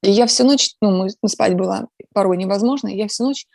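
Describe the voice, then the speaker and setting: Gravelly, reflective woman. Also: medium pitch, a little mellow, no echo, clean studio sound. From Ukraine. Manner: reflective, pauses with filler sounds; earnest, talks about faith. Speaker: interview guest. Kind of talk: casual conversational interview.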